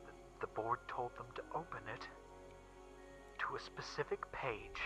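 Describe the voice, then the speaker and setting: A man playing a shaken young witness recounting an occult horror in a first-person story reading, halting and emphatic, with stammers and repeats. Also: medium pitch, natural voice. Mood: hushed, dread. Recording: muffled recording with background music. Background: eerie background music.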